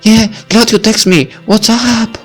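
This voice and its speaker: A man's voice: sits high, fairly even intonation, unhurried, faint music behind, pitched above the performer's own voice. A man putting on a chirpy, helpful schoolgirl. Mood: eager. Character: helpful upbeat schoolgirl texting.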